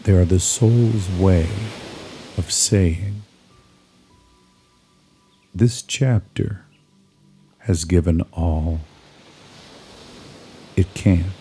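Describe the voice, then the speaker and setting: A man narrating a scripted guided meditation in a soothing, measured manner, leaving pauses between short phrases. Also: low-pitched, dark tone, soft background music.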